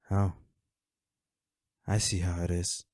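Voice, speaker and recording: A man's voice: sits low, a little mellow, breathy edge, no echo, heavily acted. Man acting as a taunting male villain, close and dry. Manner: taunting, mock-sympathetic, unhurried. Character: flirty, menacing male villain taunting captured foes. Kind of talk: scripted audio roleplay acting addressed to the listener.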